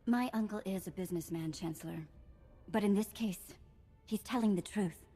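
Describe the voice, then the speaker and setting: A woman's voice: mid-range voice, moderate pace, mellow, breathy edge. An actress playing a spirited, candid young woman in a science-fiction video game, with a frank and direct manner. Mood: candid, sincere. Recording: reverberant hall, music behind.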